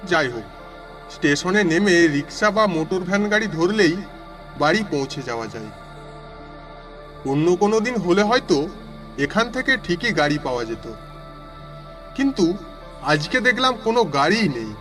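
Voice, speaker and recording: A man's voice: sits high, big pitch swings, moderate pace, pauses often, fairly bright tone, soft background music. A man voicing a village traveller who narrates his suspenseful walk home as a dramatic first-person audio story. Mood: measured. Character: male villager walking home through a storm, narrating.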